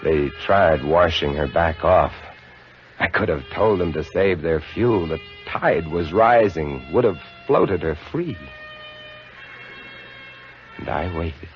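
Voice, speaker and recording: An actor playing a shaken male lighthouse keeper, telling his story in hushed, dramatic narration for a 1950s radio drama. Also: low voice, expressive, slow pace. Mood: haunted, hushed. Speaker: lead actor narrating in first person. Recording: lo-fi old radio broadcast with music.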